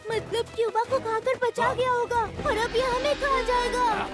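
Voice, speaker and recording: A voice actor playing an excitable, cheeky young male tiger cub in a cartoon dub, childlike and eager. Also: very high voice, measured pace. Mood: alarmed. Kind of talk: Hindi cartoon dub acting. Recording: music under the voice.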